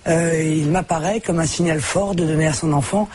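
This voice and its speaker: A man giving a measured, somewhat hesitant statement on radio. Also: fairly high pitch, steady tone, darkish tone, slightly rough. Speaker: guest. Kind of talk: prepared statement.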